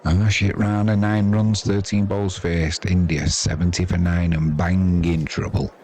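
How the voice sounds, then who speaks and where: A man's voice: low-pitched, level tone, a little slow, mellow. A man with an English accent giving chatty, wry, self-deprecating amateur live commentary on cricket. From England.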